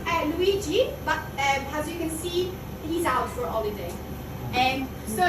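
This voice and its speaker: High chatty woman in echoey hall. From Italy. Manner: fast, chatty, upbeat, corrects herself mid-sentence. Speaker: chocolate workshop guide. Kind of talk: leading a group workshop. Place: chocolate factory workshop room. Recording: echoey distant mic with background noise.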